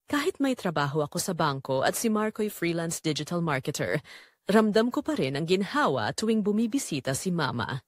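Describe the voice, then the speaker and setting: A woman's voice: fairly low pitch, very animated, normal speed, dry recording. Actress as wronged married daughter, female storyteller for audio drama. Emotive and confiding, widely swinging intonation, bone-dry recording. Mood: reflective, fond. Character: betrayed married daughter confiding a painful family secret. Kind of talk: first-person dramatic storytelling narration.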